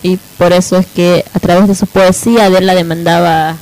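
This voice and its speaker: A woman's voice: on the low side, little pitch movement, a little fast, a little mellow, rough recording. A woman in an informal radio discussion, explaining things and often checking for agreement. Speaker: radio panelist.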